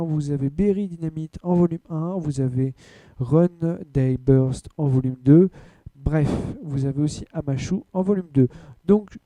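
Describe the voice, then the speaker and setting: Man, podcast host, very warm dark tone, fairly high pitch, frank and rambling. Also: no room sound. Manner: blunt, frank, loose with filler words. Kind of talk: casual news rundown and rant.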